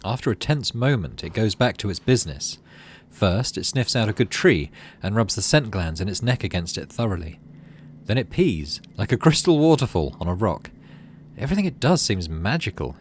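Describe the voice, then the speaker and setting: A man with a fairly low voice and very lively intonation hosts a gaming video. He moves between reading story text and tentative thinking aloud. Some noise sits behind his voice. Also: normal speed. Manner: thinks aloud in tentative, self-questioning asides. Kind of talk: let's play commentary mixed with reading game narration aloud.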